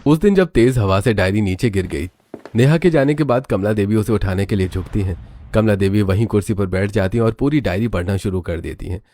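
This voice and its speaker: A man's voice: lowish voice, brisk, dark tone. A man reading scripted, dramatic narration for a cartoon story.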